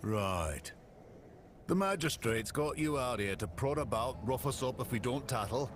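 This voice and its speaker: A man playing a gruff, defiant working townsman in a video game, curt and guarded with strangers. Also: medium pitch, expressive, a little slow, background noise, lightly acted. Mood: hostile, defiant. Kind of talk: video game dialogue acting. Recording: loud game music behind.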